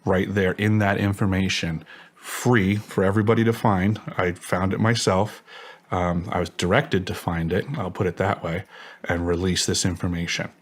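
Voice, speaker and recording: A man with a distinctly deep voice answers as an interview guest. He states things emphatically, in formal technical language, as if giving testimony. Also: little pitch movement, moderate pace. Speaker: interview guest, former contractor.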